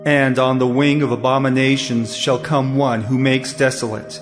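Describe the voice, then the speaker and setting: A man's voice: mid-range voice, little pitch movement, normal speed, close to an everyday voice. A man playing the angel Gabriel, a solemn heavenly messenger proclaiming prophecy in an audio Bible drama. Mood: solemn, urgent. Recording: long hall reverb, faint music.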